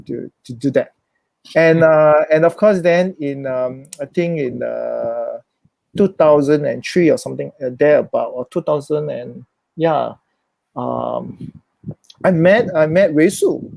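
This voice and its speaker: A man whose first language is Chinese answers interview questions in English, pausing and restarting as he thinks. His voice has a gravelly, creaky edge at a middling pitch, and the sound is close and dry with no room echo. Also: a little mellow. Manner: hesitant, thoughtful, many restarts. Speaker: interview guest, social worker. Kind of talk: reflective conversational interview.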